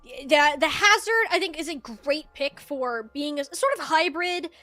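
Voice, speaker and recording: A woman doing live esports commentary as a shoutcaster, with playful, chatty banter. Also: high-pitched, a little slow, no echo. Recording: music behind the voice. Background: music.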